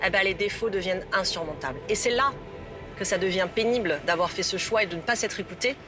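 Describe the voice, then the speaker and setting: A middle-aged woman coaching in a calm, instructive voice. She explains things step by step, with music playing quietly behind her. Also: mid-range voice, fast. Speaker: love coach. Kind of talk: coaching talk. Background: background music.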